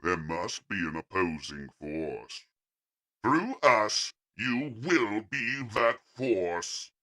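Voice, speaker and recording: Man putting on a slow, animated alien servant. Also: lowish voice, exaggerated voice. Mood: menacing, gloating. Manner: slow, dramatic, stretched delivery. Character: cowering alien Quintesson servant, cruel yet pleading, male.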